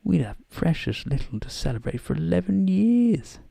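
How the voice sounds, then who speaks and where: Man playing a gentle old wizard, slow and creaky. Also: on the high side, no echo, voice pushed up, heavily acted. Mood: gentle, calm. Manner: measured, gentle, calm. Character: gentle, calm old male wizard, kindly and unhurried. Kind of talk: softly voiced bedtime story character acting.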